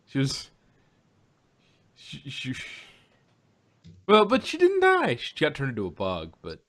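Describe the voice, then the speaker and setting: A man chats casually as a podcast host. He speaks haltingly, often restarting and circling back to rephrase, in a voice of ordinary middle pitch. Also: wildly swooping pitch, fairly bright tone. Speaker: podcast host reviewing audio drama. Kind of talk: casual chat about sci-fi.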